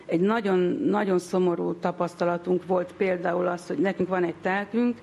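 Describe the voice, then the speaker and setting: Creaky-voiced woman giving personal testimony. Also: on the low side, fairly even intonation, a little mellow. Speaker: interviewee, relative of a patient. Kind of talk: personal testimony, spontaneous storytelling.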